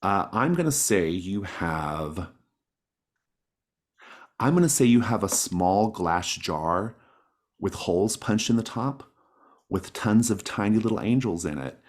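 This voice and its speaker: A man's voice: lowish voice, lively intonation, unhurried, long pauses. A man speaking as game master of a tabletop roleplaying game on an online call, in an encouraging, accommodating manner. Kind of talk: casual explanation of a tabletop game. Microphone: online group call.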